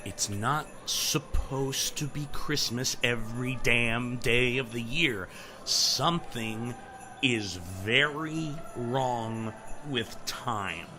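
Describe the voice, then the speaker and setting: A man's voice: mid-range voice, slow, natural voice. A man playing a sarcastic, paranoid talking raven in a comedic audio drama, exasperated and emphatic. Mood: frantic, indignant. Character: agitated, sarcastic male talking raven, paranoid about time. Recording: music playing behind the voice.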